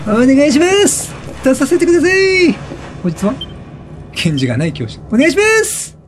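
A man giving casual, excitable let's play commentary, chatty and reactive, often repeating short phrases. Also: very high voice, normal speed. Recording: game music behind the voice. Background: video game music.

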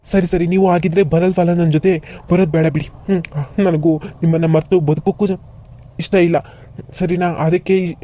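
A man's voice: sits high, level tone, fairly quick, warm tone, close to an everyday voice. A man voicing a desperate young man in love who pleads and complains in a cartoon. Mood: resigned. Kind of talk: cartoon voice acting.

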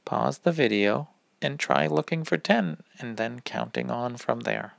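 A man reading a rhyming children's picture book aloud in a deliberate, instructive manner. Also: medium pitch, gravelly, a bit bright, no echo. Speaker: read-aloud reader.

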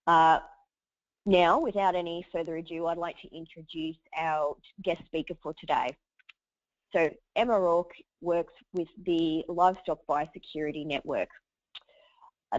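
A woman, hoarse from a cold, hosting a webinar and running through housekeeping in a chatty way with many fillers. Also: low-pitched, no echo. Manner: chatty, lots of uhs. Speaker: webinar host. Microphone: computer mic over a webinar line. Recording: dull dry webinar audio.

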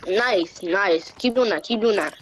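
A woman's voice: medium pitch, unhurried, background noise, lightly acted. A woman playing a bossy, impatient fighter father giving casual, repeated commands in an amateur game roleplay. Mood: coaching. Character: bossy, impatient anime fighter father teaching his son.

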